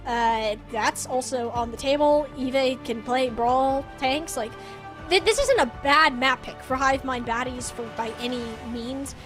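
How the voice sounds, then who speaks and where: Very high-voiced female esports commentator. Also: unhurried, music in the background. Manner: opinionated, colloquial. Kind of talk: live esports commentary, casual analysis.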